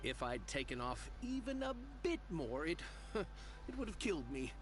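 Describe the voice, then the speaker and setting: Fawning, drawn-out jade merchant. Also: male voice, a little high, big pitch swings, music in the background. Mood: coy, proud. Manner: obsequious, drawn-out, theatrical. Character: fawning, theatrical male jade merchant, wily and flattering. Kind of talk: animated video game voice acting.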